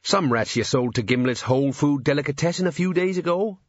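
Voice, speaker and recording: A man's voice: on the high side, expressive, brisk, dry recording, muffled recording, pitched above the performer's own voice. A man voicing a cautious, placating police sergeant in an audiobook, hesitant and colloquial in his speech. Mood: hedging. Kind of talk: character voice in audiobook narration.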